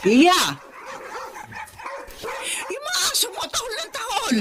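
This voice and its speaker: A woman's voice: extremely high pitch, huge pitch swings, slow pace, raised voice, noisy background, heavily acted. An actress playing a middle-aged Filipino mother in a drama, scolding and exasperated but caring. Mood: annoyed. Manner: scolding, complaining. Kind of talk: Filipino radio-style drama acting.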